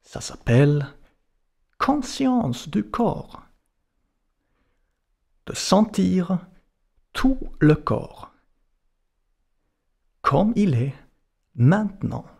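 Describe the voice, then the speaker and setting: A man leads a guided relaxation at an extremely slow pace, in short, separated phrases. His voice is fairly high, with a mellow, soft-edged tone and a gravelly creak. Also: very animated. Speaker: yoga and meditation teacher.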